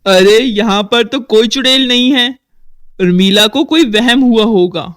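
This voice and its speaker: A woman voicing a skeptical, dismissive village man in an animated horror story. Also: a little high, a little slow, natural voice.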